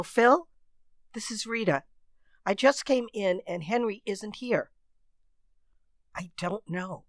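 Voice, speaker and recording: A woman's voice: on the low side, dry recording, clean studio sound. A woman playing an impatient, suspicious well-to-do wife who questions sharply in a radio mystery. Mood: puzzled, anxious. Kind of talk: old radio mystery acting.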